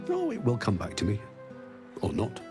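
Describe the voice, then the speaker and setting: A man's voice: lowish voice, huge pitch swings, unhurried. An actor playing a confused, cheerful well-to-do man with lost memories in a video game, rambling absent-mindedly. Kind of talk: video game character dialogue. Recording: music behind the voice.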